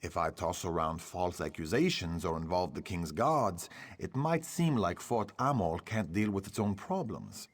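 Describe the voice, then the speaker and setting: An actor playing a secretive, scheming fort official in a fantasy video game, speaking in a measured, officious, insinuating way. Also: male voice, deep voice, expressive. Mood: officious, sly.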